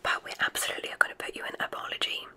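A woman playing a gracious, whimsical witch who runs a magic school, in a genteel, breathy ASMR whisper. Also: sharp tone. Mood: warm, cordial. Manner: genteel, unhurried, welcoming. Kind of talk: ASMR medical roleplay.

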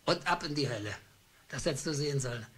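A timid clerk who narrates in the first person and talks himself up into a nervous, boastful rush. His voice sits at an ordinary middle pitch. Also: lively intonation, fast talker, fairly bright tone, husky. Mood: excited. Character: meek, downtrodden male office clerk. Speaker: narrator and lead of a radio play.

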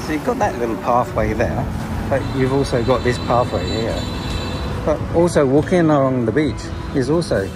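A man's voice: medium pitch, animated, moderate pace. Male travel vlogger musing aloud outdoors. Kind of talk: casual walking vlog commentary. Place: outdoors, walking along a city beachfront. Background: music, traffic and street sounds.

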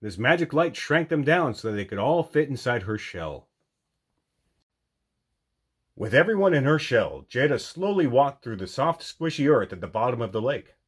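A man reading a children's storybook aloud as an animated storytime narrator. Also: mid-range voice, normal speed, husky. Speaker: storytime reader.

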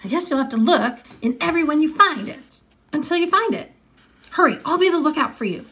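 Woman voicing a high, bossy storybook schoolgirl. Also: expressive, background noise. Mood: urgent, sugary. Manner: dramatic, drawn-out, mock-romantic when dictating. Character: bossy, scheming, confident young schoolgirl in a storybook.

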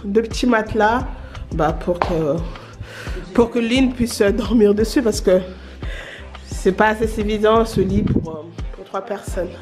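A woman's voice: medium pitch, unhurried, a little mellow, soft background music. A woman filming a holiday house tour on a handheld camera, chatting casually with many hesitations and restarts. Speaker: vlogger giving a house tour.

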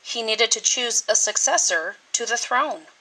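A woman reading a children's storybook aloud with deliberate, expressive, dramatic phrasing. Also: fairly high pitch, husky, rough recording. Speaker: teacher reading a picture book.

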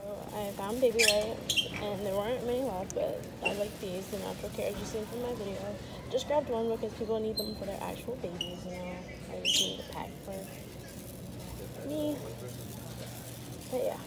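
A woman's voice: medium pitch, moderate pace, very noisy. A young woman in her twenties vlogging casually to a handheld phone camera, chatting while she shops. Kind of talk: casual vlog narration. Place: store aisles, later a parked car. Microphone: phone camera held at arm's length.

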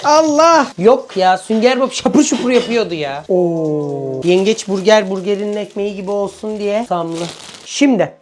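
A man's voice: high voice, normal speed, faint music behind. A man hosting a casual, joking vlog, exclaiming and complaining melodramatically. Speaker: vlog host.

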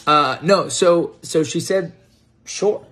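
A man's voice: fairly high pitch, slow pace, a bit bright. American man in his thirties telling stories casually. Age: 30s. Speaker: storyteller. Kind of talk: casual storytelling to camera. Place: home kitchen, indoors. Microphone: phone propped on table at arm's length.

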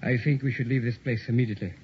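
A grim, cautious inspector acted by a man in an old radio horror drama, measured and formal with a mid-range voice. The recording is dull, with some background noise. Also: medium pitch, steady tone, close to an everyday voice. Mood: grim warning. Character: male French police inspector, grim and cautious. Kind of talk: 1960s old-time radio horror acting.

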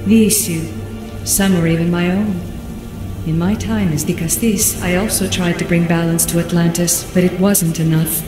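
An actress playing a solemn, ancient godlike guardian spirit in a video game, speaking in a measured, formal, oracular way. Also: female voice, on the low side, steady tone, close to an everyday voice. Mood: solemn, calm. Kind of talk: scripted video game cutscene acting. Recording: echoey hall reverb, music behind.

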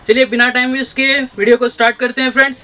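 A young man, a shop owner giving a brisk sales pitch for his goods and addressing viewers as friends again and again. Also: flat delivery, loud music behind the voice. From India. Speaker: shop owner showing products. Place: textile shop, indoors.

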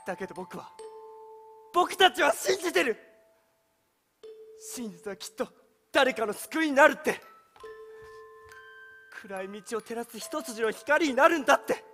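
Earnest young male attorney, high voice, stage play, heartfelt, music behind. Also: animated, a little slow, long pauses, pushed and bright, heavily acted. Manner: theatrical, heartfelt delivery. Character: idealistic, earnest young defence lawyer. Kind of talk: live stage-play acting. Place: theatre stage.

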